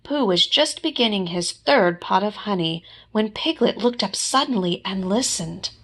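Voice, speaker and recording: A woman reading a children's storybook aloud as a teacher, with expressive narration. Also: mid-range voice, moderate pace, poor audio.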